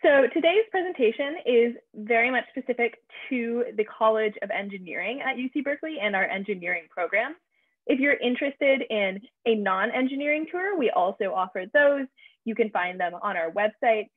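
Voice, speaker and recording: A woman's voice: fairly high pitch, no room sound. A young woman hosting a virtual campus tour, introducing the session in an upbeat, emphatic way over a video call. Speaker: tour host. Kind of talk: scripted event introduction. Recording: tinny phone-like video call audio.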